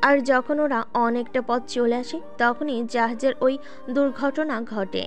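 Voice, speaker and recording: Higher-voiced woman narrating over music. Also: steady tone, measured pace. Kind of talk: scripted story narration. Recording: music bed under the voice.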